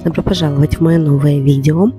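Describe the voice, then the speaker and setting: A woman narrating her home vlog as a voice-over, slightly ill and a little hoarse, calmly explaining each step. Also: deep voice, a little fast, soft background music. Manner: calm, instructive, explains step by step. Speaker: home vlogger.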